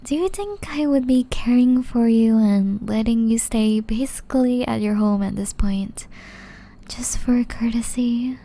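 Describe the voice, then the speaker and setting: A woman voicing a gentle, caring young witch in love, whispering softly and tenderly in an ASMR romance roleplay. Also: fairly high pitch. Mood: tender confession. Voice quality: soft, breathy whisper. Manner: slow, tender, intimate delivery.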